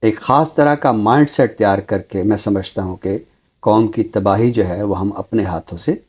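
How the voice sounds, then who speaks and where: A Pakistani man speaking formally and deliberately as a literary commentator, with emphatic, repeated phrasing. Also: lowish voice, a little mellow, slightly rough. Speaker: literary commentator on a talk show. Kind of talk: measured, formal literary discussion and poetry recital.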